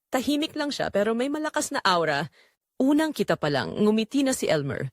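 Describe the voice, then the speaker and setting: Expressive Filipino woman narrating a drama, dry sound. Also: on the high side, a little fast, no room sound. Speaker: storyteller and channel narrator. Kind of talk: scripted dramatic story narration.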